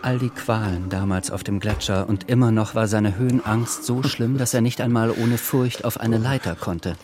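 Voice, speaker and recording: A man's voice: fairly low pitch, normal speed. A man narrating a radio play in a scripted, literary style. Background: music.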